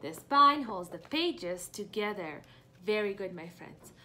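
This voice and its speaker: A young woman teaching preschoolers through a picture-book read-aloud, asking questions expressively and pausing for answers. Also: medium pitch, animated, pushed and bright. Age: young adult. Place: small home room indoors.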